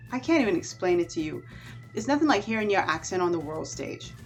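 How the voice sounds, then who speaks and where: A woman from the Bahamas with a Bahamian accent, chatting to camera on her vlog in a lively, animated way. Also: mid-range voice, normal speed, soft background music. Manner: chatty and animated, with warm asides. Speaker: vlogger. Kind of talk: casual chat to camera.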